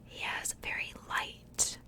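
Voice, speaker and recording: A woman whispering softly and breathily in a casual, gentle ASMR style, chatting conversationally close to the microphone. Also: slow pace. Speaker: host of an ASMR doll unboxing channel.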